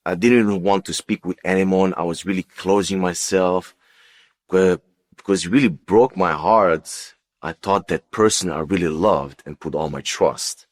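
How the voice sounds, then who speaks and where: Very deep-voiced man giving testimony. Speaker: guest giving a personal testimony. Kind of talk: unscripted personal testimony.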